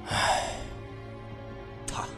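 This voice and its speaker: An actor playing a solemn, sorrowful man in a fantasy audio drama, speaking in a grave, measured way. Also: over music. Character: solemn, sorrowful man bearing tragic news.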